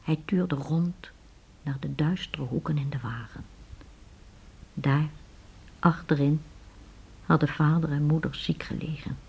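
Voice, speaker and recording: A woman with a husky voice narrating a radio play as a dramatic, scripted storyteller. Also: low-pitched, steady tone, unhurried, long pauses, darkish tone.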